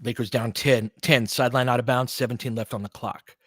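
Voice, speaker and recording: A man's voice: medium pitch, steady tone, brisk, a bit bright, dry recording, studio quality. A man doing casual live basketball play-by-play in clipped phrases, with conversational analytical asides. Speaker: play-by-play commentator and host.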